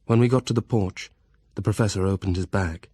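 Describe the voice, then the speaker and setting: Audio drama: a sober young Victorian solicitor, lowish voice, measured journal reading, dry sound. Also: male voice, mellow, breathy edge, dry recording. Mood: sober, earnest.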